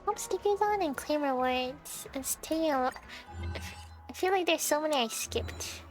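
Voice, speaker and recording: A woman's voice: high-pitched, expressive, moderate pace. A woman livestreamer chatting casually to viewers as she plays a game, thinking aloud and often asking questions. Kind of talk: casual chat while playing a game for viewers. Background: video game music.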